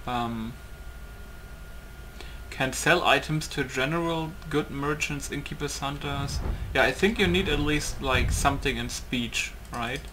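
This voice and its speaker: A man's voice: mid-range voice, unhurried, sharp tone. A man giving casual, rambling playtest commentary on a video game, thinking aloud. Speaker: gaming video maker. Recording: game noise behind the voice. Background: video game ambience.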